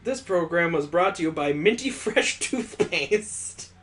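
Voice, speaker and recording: Guy doing a cheesy tv ad announcer, higher voice, stretches words out, way overdone. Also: lively intonation, a little slow, a bit bright. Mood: overblown. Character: hammy television commercial announcer with a sales pitch.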